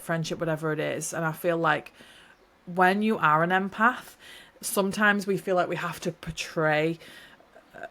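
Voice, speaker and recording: A young woman hosting a solo podcast, a little nasal and stuffy from hay fever, talking in an earnest, rambling, heartfelt way. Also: fairly low pitch. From New Zealand. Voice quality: slightly nasal. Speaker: podcast host. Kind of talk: casual solo podcast talk.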